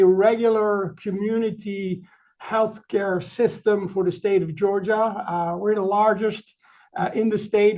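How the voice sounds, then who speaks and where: A middle-aged man from the Netherlands with a Dutch accent, giving a webinar presentation in a halting way, full of fillers and restarts. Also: high-pitched, vocal fry, a little mellow, highs cut off. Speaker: chief marketing officer presenting.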